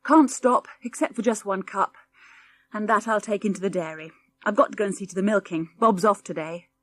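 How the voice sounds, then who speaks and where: A big, worn-out farmer from a storybook, voiced by a woman. He grumbles gruffly and wearily, a busy father with no time to spare. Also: medium pitch, a little fast, fairly bright tone, no echo, natural voice. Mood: curt, weary. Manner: gruff, weary.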